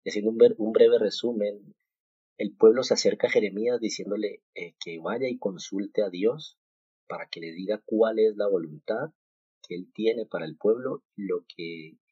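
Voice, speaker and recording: Low husky Colombian-born man podcasting. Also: dry recording. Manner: halting, many fillers and repeated words. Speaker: podcast host. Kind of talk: casual devotional talk. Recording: muffled, dull home recording.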